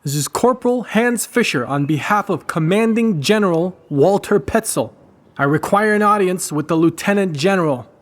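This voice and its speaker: A man voicing a resistance fighter who poses as an officious German corporal, calling out formally and loudly in an audio drama. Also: high-pitched, animated, moderate pace, pitched above the performer's own voice. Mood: commanding, urgent. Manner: loud, formal, commanding.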